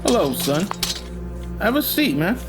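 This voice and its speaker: A man's voice: high voice, sing-song, unhurried, music in the background. An actor playing a disgruntled, patronizing male police captain in an audio drama, needling and sardonic. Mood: stern, aggrieved.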